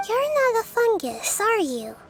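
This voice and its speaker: A wise, youthful goddess speaks in a very high, light voice. She is calm, curious and thoughtful, like a gentle scholar. Faint game music plays behind her. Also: measured pace, heavily acted. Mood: reassuring. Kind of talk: game cutscene voice acting.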